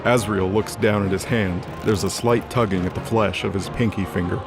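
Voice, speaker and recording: A low-voiced man narrates a scripted fantasy drama. His dramatic storytelling sits over loud background music. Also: steady tone, moderate pace. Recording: music under the voice.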